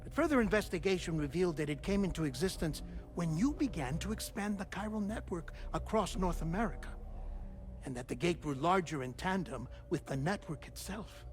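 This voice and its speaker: A man's voice: sits high, faint music behind, close to an everyday voice. An actor playing an eccentric, affable male researcher telling a story in a theatrical, conversational way for a video game cutscene. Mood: expository, intrigued. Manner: theatrical, conversational storytelling with chuckles and dramatic pauses. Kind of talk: video game cutscene monologue, explanatory narration.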